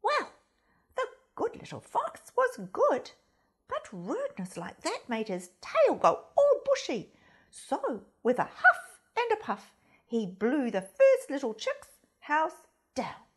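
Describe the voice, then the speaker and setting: A woman storyteller reading a children's storybook expressively, with theatrical, deliberate phrasing. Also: high-pitched, huge pitch swings. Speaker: storyteller, primary school reader.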